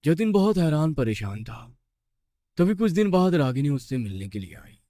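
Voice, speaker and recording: A man's voice: a little high, very animated, moderate pace, mellow, no room sound, clean studio sound. A man narrating a scripted horror story with suspenseful, dramatic phrasing.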